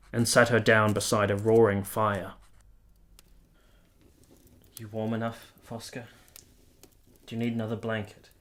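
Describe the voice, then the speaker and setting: Man acting earnest, conflicted romantic hero, measured delivery. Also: lowish voice, natural voice. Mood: tender, hushed. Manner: earnest, literary, measured phrasing. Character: Giorgio, lovestruck, conflicted 19th-century Italian man, confessional narrator. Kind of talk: radio drama narration and intimate dialogue.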